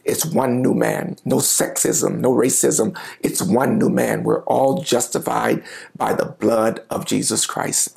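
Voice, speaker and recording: A middle-aged man, a pastor, preaching a sermon to the camera from a home office, reading scripture and explaining it with lively gestures. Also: mid-range voice, creaky. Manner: animated gestures, reading and explaining scripture, occasional quiet asides. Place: home office with bookshelves, indoors. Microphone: lapel mic, seated at desk.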